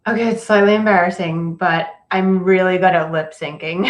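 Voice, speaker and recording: A woman's voice: on the low side, moderate pace, reverberant. A woman whose first language is Cantonese, a career coach talking casually as a podcast guest, her speech full of "like" and "you know.". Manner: chatty, frequent "like" and "you know". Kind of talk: casual interview conversation.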